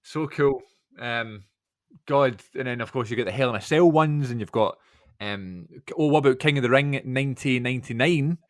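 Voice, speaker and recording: A man chats on a podcast in an enthusiastic, rambling way, full of ums and restarts. His pitch sits in a middling range. The recording is dry and close. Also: moderate pace, dry recording. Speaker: podcast co-host. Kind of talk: casual chat.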